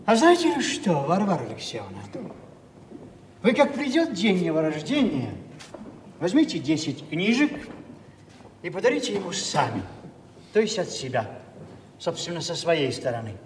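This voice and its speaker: An actor playing a timid, rambling old father in a theatrical audio play, halting and repeating himself. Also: fairly high pitch, sing-song. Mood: pleading, confiding. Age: older. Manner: hesitant, repetitive, flustered and halting. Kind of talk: theatrical stage acting of a Russian classic. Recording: echoey hall, distant mic, faint noise.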